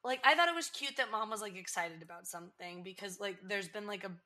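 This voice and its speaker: A woman in her twenties chatting casually as a podcast host, rambling through a TV episode recap with lots of "like" fillers and sudden excited outbursts. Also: mid-range voice, fast talker. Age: 20s.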